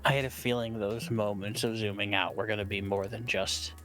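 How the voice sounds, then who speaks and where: A man's voice: fairly low pitch, fairly even intonation, brisk, fairly bright tone. A man talking casually as a guest co-streamer on a game stream. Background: music.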